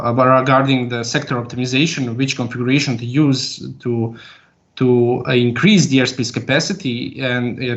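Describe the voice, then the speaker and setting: Male, medium pitch, PhD candidate fielding questions, hesitant explainer, muffled online-call sound. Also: a bit bright. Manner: halting, explanatory, with long hesitations. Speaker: PhD candidate defending a thesis. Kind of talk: formal academic question answering. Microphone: video call.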